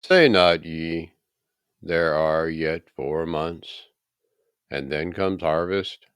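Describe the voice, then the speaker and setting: A man voicing a calm, authoritative holy teacher in a scripture reading, grave and deliberate. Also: extremely low pitch, clear, resonant tone, no room sound, clean studio sound, exaggerated voice. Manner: grave, deliberate, pausing between phrases.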